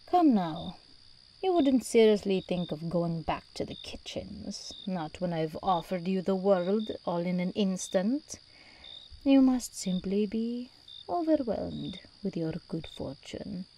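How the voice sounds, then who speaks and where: An imperious demon princess, acted by a woman, purrs through an ASMR roleplay in a warm, relaxed, mid-pitched voice. She speaks slowly and regally, doting and possessive. Faint ambient noise sits behind her. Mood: coaxing, possessive. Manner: regal, teasing, unhurried delivery with endearments.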